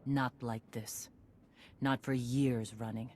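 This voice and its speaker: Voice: medium pitch, fairly even intonation, takes it slowly, darkish tone, slight background noise, close to an everyday voice. An actor playing a tough war leader who speaks bluntly and in a businesslike way. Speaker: commander.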